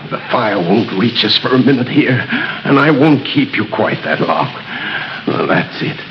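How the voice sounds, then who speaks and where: Desperate father, lively pitch, drawn-out delivery, hissy 1930s radio recording. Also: creaky, muffled recording. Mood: dying calm. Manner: shouting, urgent. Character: desperate brave father fighting a devil.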